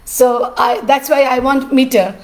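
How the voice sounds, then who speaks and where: A middle-aged woman, an artist and teacher telling her story in a cracked, rough voice, pausing often with "uh". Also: high voice, steady tone. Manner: halting, with many "uh" pauses. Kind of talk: personal storytelling.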